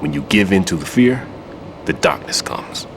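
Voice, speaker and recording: An actor playing a seasoned older male bomb expert and wary mentor with a measured, grave delivery. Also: low-pitched, expressive, heavy background noise. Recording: game cutscene with music.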